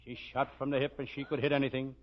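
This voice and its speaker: An American man playing a deadpan hard-boiled private detective, narrating a 1940s radio parody. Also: medium pitch, quick pace, natural voice. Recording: noisy, muffled 1940s radio recording.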